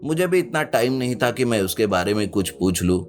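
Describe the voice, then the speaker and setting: A man's voice: fairly low pitch, expressive, brisk, lightly acted. A man playing a kindly, avuncular family lawyer in a story. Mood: calm. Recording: music behind the voice.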